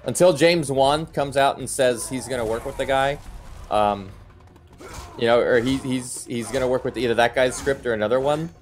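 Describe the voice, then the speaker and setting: A man's voice: mid-range voice, normal speed. A middle-aged man hosting a live stream, chatting casually and giving his opinions as he answers viewer comments. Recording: music playing behind the voice. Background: background music.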